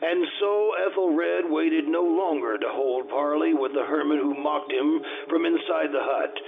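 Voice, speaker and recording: A man playing an anxious, kindly gentleman who narrates a Gothic horror tale in formal, dramatic old-time radio style. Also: on the high side, creaky. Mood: dramatic reading. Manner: formal, dramatic, deliberate, with nervous stammers. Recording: noisy, phone-like old-time radio sound.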